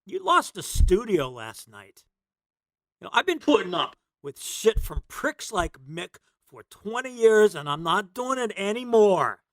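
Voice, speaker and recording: A man's voice: high-pitched, big pitch swings, measured pace, heavily acted, poor audio. Man acting an exasperated young punk-band guy. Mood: angry. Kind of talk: voice acting in a motion comic.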